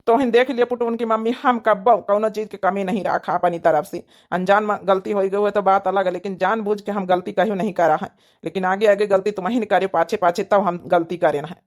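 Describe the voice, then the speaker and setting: A woman's voice: mid-range voice, steady tone, a little fast, voice pushed down, lightly acted. A woman voicing an earnest, self-justifying village husband in a cartoon, with an Awadhi accent. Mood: aggrieved.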